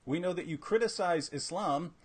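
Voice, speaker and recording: A man's voice: fairly high pitch, moderate pace, a little hiss or hum. Guy hosting a video call interview, teasing, joky, plenty of ums. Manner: joking, teasing. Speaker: host.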